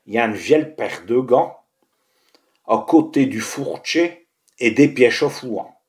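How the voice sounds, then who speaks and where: A man's voice: mid-range voice, darkish tone. A man with a Picard accent from the Tournai area, reciting a monologue in dialect. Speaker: monologue performer.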